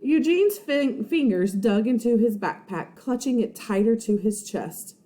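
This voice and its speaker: A woman reads a children's story aloud as a teacher would to first graders. Her voice is mellow and very animated in pitch, at an unhurried pace, addressing her young listeners directly. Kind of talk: expressive children's storybook read-aloud with asides.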